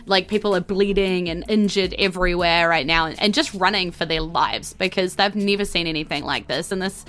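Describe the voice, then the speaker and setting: A woman's voice: on the low side, fairly quick, a bit bright. A woman running a tabletop role-playing game as game master, narrating scenes and rulings in an informal, casual way.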